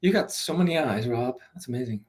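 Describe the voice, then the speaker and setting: A man in his forties from Iceland with a mid-range voice, talking as a webinar guest. He is relaxed and a little hesitant, with lots of small pauses and fillers. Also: mid-range voice. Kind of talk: casual interview.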